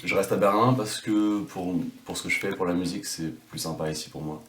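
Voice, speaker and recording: A man's voice: low-pitched, a little fast. A French man in his twenties, a musician, answering interview questions and searching for words. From France. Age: 20s. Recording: roomy, distant, faint noise.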